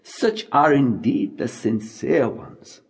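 A man's voice: medium pitch, animated, slow pace. A man narrating scripture as an audiobook reader, in a solemn, declamatory recitation delivered phrase by phrase.

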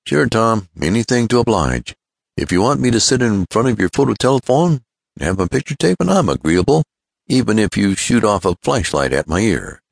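A man's voice: fairly low pitch, big pitch swings, moderate pace, creaky, no room sound, clean studio sound, voice pushed up, heavily acted. A man voicing an eager, joking young male friend of an inventor in an adventure storybook, breezy, exclamatory and full of questions.

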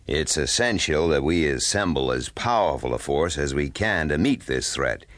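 An actor playing a grave, businesslike senior admiral in an audio drama, deliberate and commanding. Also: male voice, rumbling low, lively intonation, fairly bright tone, no room sound. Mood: deliberate, serious.

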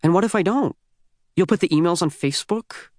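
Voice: mid-range voice, brisk, darkish tone, dry recording, studio quality, voice pushed up, close to an everyday voice. A man playing an indignant, wary teenage boy in an audiobook, answering with incredulous sarcasm.

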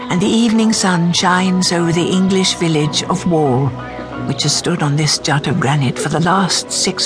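Seeking a performer as fairy-tale narrator for radio drama, mid-range voice, scripted storytelling, set over clear music. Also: mid-range voice, natural voice. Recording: music under the voice.

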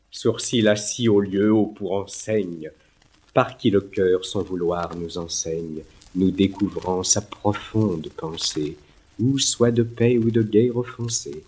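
Man, poetry recitation, declamatory phrasing, very unhurried, slightly husky. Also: lowish voice, darkish tone. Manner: declamatory, lyrical, theatrical phrasing. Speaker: poetry reader.

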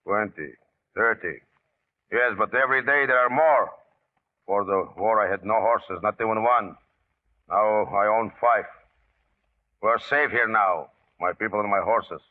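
Phone-like vintage radio sound, man acting suspicious guerrilla chief, lowish voice, terse, domineering, deliberate. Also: natural voice. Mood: proud, stubborn. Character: suspicious, domineering male guerrilla boss, menacing and stubborn. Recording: muffled, narrowband vintage radio recording.

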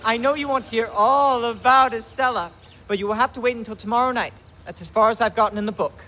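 A man's voice: extremely high pitch, fast, pushed and bright. A male actor playing an earnest, precocious, stage-struck young boy in an audio drama. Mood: showy storytelling.

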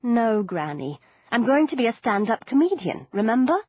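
A woman's voice: on the high side, big pitch swings, moderate pace, breathy edge, no room sound, muffled recording, voice pushed up. A woman voicing an impatient teenage girl who talks with a dry, sarcastic edge. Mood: wry.

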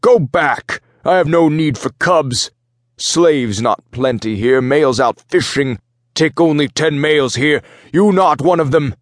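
A man voicing a gruff, bullying minotaur ship captain in an audiobook, barking orders in broken speech. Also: mid-range voice, animated, slow pace, a bit bright, no room sound, studio quality, pitched above the performer's own voice. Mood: angry, barking. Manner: barked, commanding broken speech. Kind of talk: audiobook character voice.

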